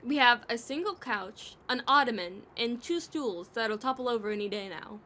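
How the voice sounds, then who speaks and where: A woman's voice: fairly high pitch, animated, moderate pace, bright, forceful tone. A woman voicing a playful, sarcastic non-human oddball with wry, bantering delivery in an audio drama, over background noise. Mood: wry sarcasm. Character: sardonic, teasing, playful eyeless bloodless oddball.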